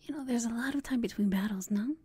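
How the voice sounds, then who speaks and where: Casting: actress as teasing female villain, ASMR roleplay. Very breathy whisper, soft mellow tone, coaxing and confident, close and dry. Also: fairly high pitch, quick pace, no room sound. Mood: flirty, confident. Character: flirtatious, teasing female villain, confident yet secretly inexperienced. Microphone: close mic, dry.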